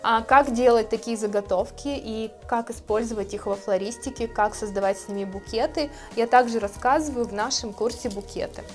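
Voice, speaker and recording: A woman in her thirties, a florist, calmly explaining her steps in a tutorial, speaking gently to her viewers. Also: a little high, a little fast, few pauses, soft background music. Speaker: florist teaching a course. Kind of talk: calm tutorial narration. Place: indoor studio with plants. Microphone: lapel mic.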